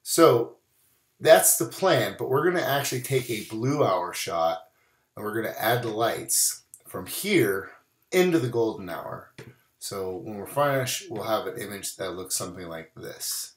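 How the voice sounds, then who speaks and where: A man's voice: fairly low pitch, unhurried, echoey room. A man still getting his voice back after bronchitis, casually walking through a photo edit in a screen-recorded tutorial. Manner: casual, relaxed walkthrough with many "we're gonna". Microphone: voice-over on screen recording.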